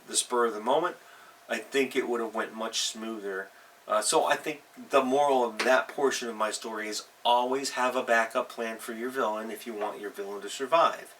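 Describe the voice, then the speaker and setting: A man hosting a role-playing advice show, telling anecdotes in a casual, halting monologue and often correcting himself as he goes. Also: medium pitch, sharp tone. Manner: halting, self-correcting, rambling. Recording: distant mic with faint background noise.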